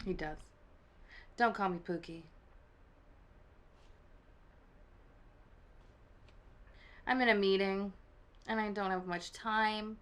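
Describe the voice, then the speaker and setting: Woman acting a brisk, self-assured businesswoman. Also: mid-range voice, lightly acted. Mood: cool, dismissive.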